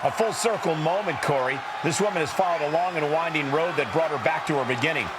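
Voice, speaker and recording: An actor plays a solemn male lead commentator in a wrestling game. He projects in a high register with storytelling drama, and loud crowd noise surrounds the voice. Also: quick pace, natural voice. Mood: triumphant. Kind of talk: live sports commentary.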